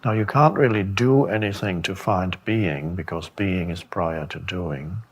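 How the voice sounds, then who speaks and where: A man giving a meditation talk as a spiritual teacher, speaking contemplatively with long pauses and gentle questions. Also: low voice.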